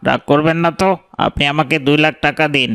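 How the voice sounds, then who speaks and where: A man's voice: fairly high pitch, steady tone, quick pace, a bit bright, clear, resonant tone. A man playing an arrogant, spoiled young son-in-law from a rich family, dismissive and stubborn, in a moral cartoon. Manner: dismissive, haughty. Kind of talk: Bengali moral cartoon voice acting.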